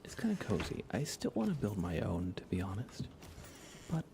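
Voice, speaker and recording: Low, gravelly, soft-spoken man streaming ASMR. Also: huge pitch swings, noisy background. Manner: gentle, unhurried, rambling, half-whispered. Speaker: livestream host. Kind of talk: soft-spoken ASMR game livestream chat.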